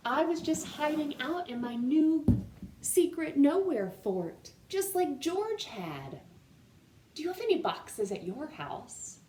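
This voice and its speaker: A woman hosts a read-aloud for young children in a fairly high voice. Her delivery is lively and expressive, taking its time as she speaks straight to the listeners. The room rings noticeably, with slight noise behind her. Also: slow pace. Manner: animated, addressing young children with questions. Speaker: storytime reader and host. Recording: roomy echo with faint background noise.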